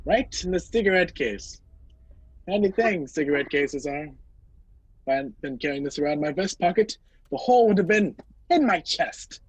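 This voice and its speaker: Performer playing a husky, flustered gentleman hero. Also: sits low, animated, dry recording. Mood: wry, urgent. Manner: halting, exclamatory, wryly polite. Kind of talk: amateur radio play acting. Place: indoors, performed over a video call. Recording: muffled video call audio.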